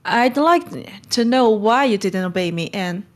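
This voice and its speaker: A woman's voice: medium pitch, lively intonation, unhurried, natural voice. A woman playing a stern but kind middle-aged guardian in a storybook read-along, brusque and scolding. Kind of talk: acted audiobook read-along.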